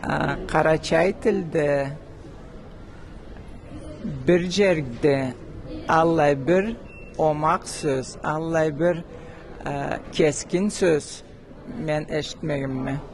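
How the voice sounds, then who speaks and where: Slow, halting man, background noise. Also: a little high. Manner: slow, halting, searches for words. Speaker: viewer interviewed after screening. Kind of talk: casual interview answer.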